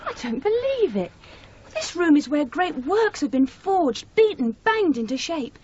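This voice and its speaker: A high, lilting voice an eccentric, pushy would-be novelist in a radio play. She is breezy and unflappable. The old recording is muffled. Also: sing-song, measured pace, darkish tone, a little hiss or hum, heavily acted. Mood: confident, teasing. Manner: breezy, forward. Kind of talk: radio drama acting.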